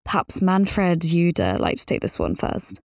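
A woman's voice: fairly low pitch, big pitch swings, measured pace, vocal fry, dry recording. Woman hosting, dry close sound. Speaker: interview host, moderator. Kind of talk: polite moderated business podcast interview.

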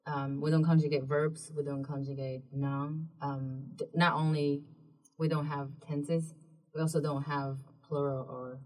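A woman whose first language is Mandarin Chinese, speaking English with a Mandarin accent as an interview guest, explaining things carefully with frequent pauses. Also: low-pitched, flat delivery, darkish tone. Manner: thoughtful, explaining with many um pauses. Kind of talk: casual explanatory chat. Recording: distant mic in a room.

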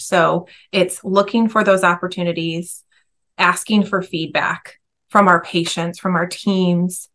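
A woman guest on a podcast, a corporate trainer, explaining her work in an earnest, energetic way. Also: lowish voice. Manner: earnest, energetic, lists ideas. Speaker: guest, dental company trainer. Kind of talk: podcast interview answers.

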